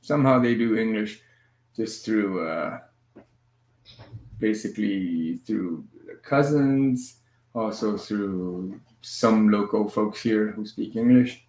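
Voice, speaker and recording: A man hosting a casual family livestream from home, moving easily between languages with a relaxed, filler-heavy way of talking. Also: fairly low pitch, takes it slowly. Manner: switches between languages mid-sentence. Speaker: livestream host and father. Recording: echoey room sound.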